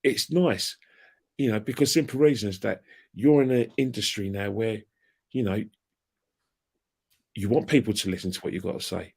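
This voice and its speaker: A man's voice: on the low side, normal speed, gravelly, long pauses, darkish tone, slightly rough. A man, ranting in a heated casual chat about football fandom and trading colourful insults. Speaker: fan channel guest.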